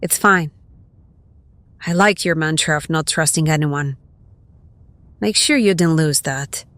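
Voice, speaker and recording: A woman's voice: sits low, measured pace, a bit bright, dry recording. An actress playing a curt, prickly, distrustful woman in an audio drama, with a biting manner. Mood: cold, resentful.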